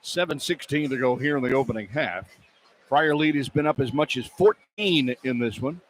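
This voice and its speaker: A man's voice: medium pitch, normal speed, low-quality recording. An American man calling live basketball play-by-play and reading sponsor spots as a radio announcer. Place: broadcast position inside a large indoor arena. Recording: live arena broadcast with background noise. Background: arena ambience and noise.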